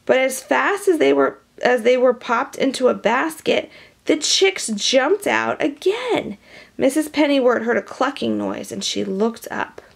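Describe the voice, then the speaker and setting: A woman reading a storybook aloud to young children in an expressive, animated way, with hushed asides. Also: high-pitched, measured pace, vocal fry, slightly rough. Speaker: storybook reader.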